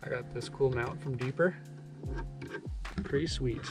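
A man's voice: mid-range voice, unhurried, creaky. A young man, chatting casually through his camping and fishing vlog, outdoors at a campsite and on a lake. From Canada. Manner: relaxed, upbeat gear commentary. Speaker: outdoor vlogger. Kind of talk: casual chat. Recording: background music under voice. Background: music.

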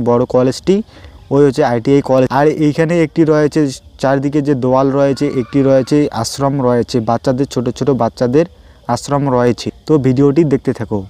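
A man's voice: medium pitch, mellow, clear, resonant tone, no room sound. A man narrating a travel vlog in loose, repetitive, improvised phrasing. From West Bengal, India. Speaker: travel vlogger. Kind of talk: travel vlog narration pointing out roads and places.

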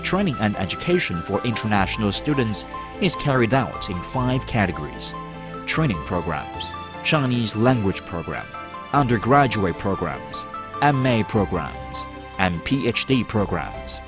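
Man doing a formal promo voice-over, lowish voice, loud music under it. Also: big pitch swings. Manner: formal, measured. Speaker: narrator. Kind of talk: scripted promotional narration. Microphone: studio voice-over.

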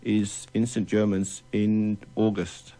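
A man's voice: fairly low pitch, level tone, slow, warm tone. A man explaining things casually as a guest in a radio interview.

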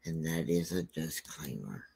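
A man with a deep, scratchy voice hosting a true crime channel, talking casually and rambling with many fillers. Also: animated, unhurried, vocal fry, dark tone, husky.

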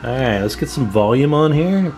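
A man giving a casual, informal hands-on demonstration as a hobbyist, unhurried, recorded on a handheld camera in a room at home. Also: medium pitch, lively intonation, over loud music. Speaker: CB radio hobbyist showing his equipment. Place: indoor room at home with radio gear. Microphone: handheld camera microphone, speaker off screen.

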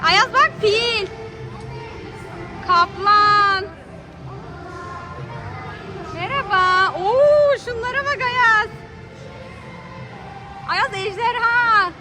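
A woman with an extremely high voice hosts a children's outing vlog. She speaks in short exclamations at an unhurried pace, pointing things out to a child and to viewers. Loud music plays behind her. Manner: exclaiming, calling out sights in short phrases. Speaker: host of a children's family vlog. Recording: loud background music and crowd outdoors. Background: music and crowd noise at an amusement boat ride.

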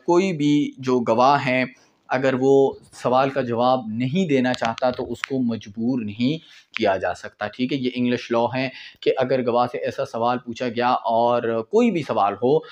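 A man's voice: mid-range voice, fairly even intonation, measured pace, darkish tone. A man in his twenties with a Pakistani accent, lecturing informally on law and explaining as he goes, mixing in English legal terms. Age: 20s. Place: small indoor room with whiteboard.

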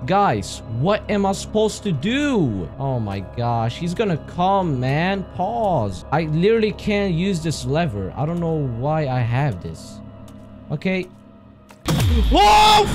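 A young man commentates a horror game into a headset mic, his voice high and swinging wildly between yelps and mutters. He gasps and shouts at every scare, and his throat has gone hoarse from it. Faint music runs under his voice. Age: young adult. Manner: jumpy, yelling and gasping at scares. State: hoarse, voice strained from screaming. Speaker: gaming streamer. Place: small room indoors.

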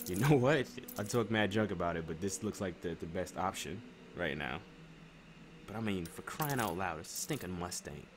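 Deep-voiced American man, slangy gaming chatter. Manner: slangy, rambling, thinking out loud. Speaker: gaming streamer. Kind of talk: casual let's play commentary. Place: room at home. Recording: clearly noisy home webcam stream. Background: car game sounds.

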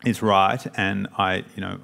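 A man's voice: low-pitched, flat delivery, a little slow, vocal fry, pushed and bright, slight background noise. A man speaking as a panel guest on an auditorium stage, hesitant with many restarts. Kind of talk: panel discussion.

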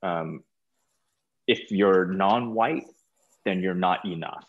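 A middle-aged man with an American accent and a fairly low voice answers as a guest in a casual interview. He speaks informally and haltingly, circling back over words. The sound is a little muffled, as on a video call. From California, United States. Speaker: guest, stage performer. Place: small plain room indoors, video call.

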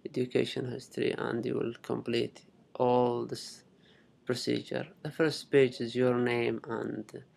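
A young man from Saudi Arabia with a Saudi Arabic accent, a native Arabic speaker, giving a halting, unscripted step-by-step screen tutorial in simple phrases. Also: medium pitch, flat delivery, vocal fry, darkish tone. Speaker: international student giving a tutorial. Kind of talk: unscripted screen-recording walkthrough.

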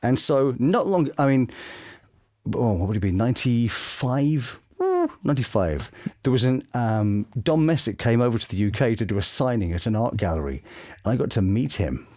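A middle-aged British man chats as a studio guest with a very lively, swooping delivery. He tells stories with open enthusiasm and lots of expression, at an average male pitch. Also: normal speed. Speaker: voice actor interviewed as guest. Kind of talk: animated anecdotal interview chat. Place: small home studio room. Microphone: boom-mounted studio microphone.

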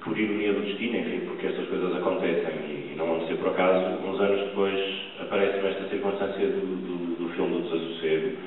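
Young man, deep voice, echoey theatre. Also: steady tone, fast talker, few pauses, a little hiss or hum. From Porto, Portugal. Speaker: actor. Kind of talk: talk to a theatre audience. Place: large theatre stage. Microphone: far camera, room sound. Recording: distant, echoey, tinny hall recording.

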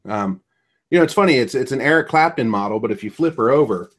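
A man's voice: mid-range voice, animated, fast talker. A middle-aged man hosting a podcast, chatting casually and joking over a video call from a small home room, trailing off with ums as he goes. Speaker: podcast host. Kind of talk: casual chat. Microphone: webcam on a video call.

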